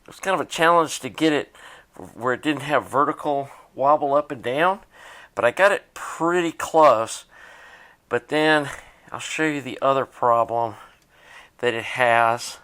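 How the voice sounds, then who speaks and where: Man, mid-pitched voice, casual repair demo, plainspoken, unscripted, thinking aloud. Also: unhurried, fairly bright tone. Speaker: hobbyist demonstrating a tape deck repair. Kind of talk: casual hands-on repair narration.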